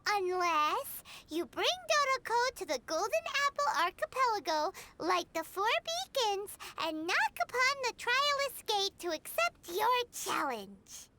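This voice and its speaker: A woman voicing an excitable, eager little girl adventurer in a video game, exclaiming in a childlike way. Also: extremely high pitch, unhurried, hardly any pauses, noisy background, heavily acted. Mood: focused. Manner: exclaiming, childlike, speaks in third person. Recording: music behind the voice.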